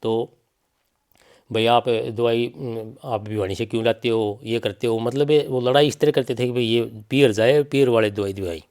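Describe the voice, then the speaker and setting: A man's voice: medium pitch, steady tone, moderate pace, darkish tone, clean tone. A middle-aged man with a Haryanvi accent gives spoken testimony in an interview, telling it insistently, with repetition and reported speech. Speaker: bereaved father giving an interview.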